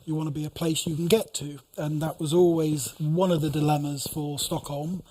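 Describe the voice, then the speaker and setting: A man's voice: a little high, fairly even intonation, normal speed, a little mellow, slight background noise, rough recording. A man speaking as a panelist, explaining in a conversational way. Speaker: seminar panelist. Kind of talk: panel discussion.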